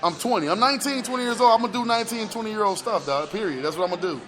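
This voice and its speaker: A high-pitched American man co-hosts a sports radio show. He talks in loose, slangy banter and doubles up on words and phrases to drive his point home, in an animated way. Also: very fast.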